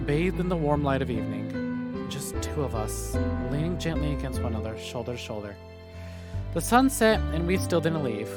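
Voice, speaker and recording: A man reading a visual novel on stream, with expressive story narration and casual commentary. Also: fairly high pitch. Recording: loud music behind the voice. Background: game music.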